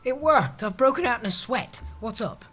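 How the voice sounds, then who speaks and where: A man's voice: very high voice, expressive, moderate pace, a bit bright, slightly rough, heavily acted. An actor playing a blithe, scatterbrained male office schemer, eager and a little bossy, in a comedy audio drama. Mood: dim, excited.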